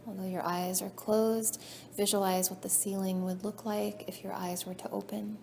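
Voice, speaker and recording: A woman leading a guided meditation as a yoga nidra teacher, soothing and measured, her phrases trailing off softly. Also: mid-range voice, little pitch movement, creaky. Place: indoor class room. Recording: faint background noise, live class recording.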